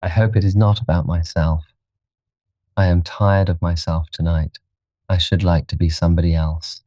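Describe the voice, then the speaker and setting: Man voicing a petulant young gentleman, muffled sound. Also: low voice, little pitch movement, moderate pace, no echo. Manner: petulant, contemptuous, languid. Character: petulant, haughty, world-weary young gentleman. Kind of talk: audiobook character reading.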